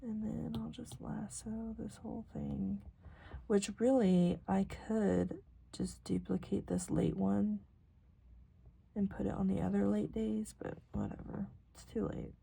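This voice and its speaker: A woman's voice: mid-range voice, steady tone, unhurried, gravelly, dark tone, slight background noise, rough recording. A young American woman chatting casually and ramblingly as she works on her planner, informal and self-deprecating, sometimes murmuring to herself. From rural South Dakota, US. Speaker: planner vlogger.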